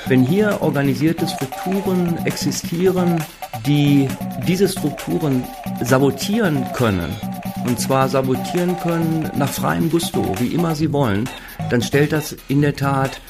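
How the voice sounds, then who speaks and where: A man speaking as an interviewed expert, explaining analytically in formal, measured phrases. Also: a little high, loud music behind the voice.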